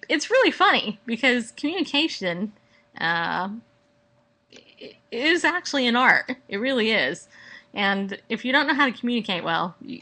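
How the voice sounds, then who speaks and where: A woman hosting a casual solo podcast at home, chatting in a playful, rambling, animated way. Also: fairly low pitch. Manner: playful, rambling, acts out mock exchanges. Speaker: podcast host. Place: at home, indoors.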